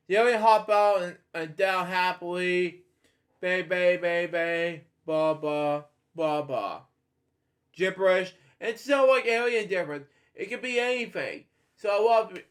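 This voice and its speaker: A man's voice: high-pitched, a bit bright. A man reading a children's storybook aloud, stumbling, restarting and adding rambling side comments. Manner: halting, with frequent restarts and filler comments.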